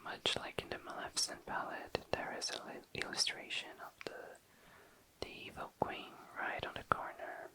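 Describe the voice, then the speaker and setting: A young adult ASMR host whispering casual, tentative commentary, often self-correcting in an unhurried way. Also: pushed and bright. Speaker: host of an ASMR makeup video. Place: indoors, tabletop close-up video.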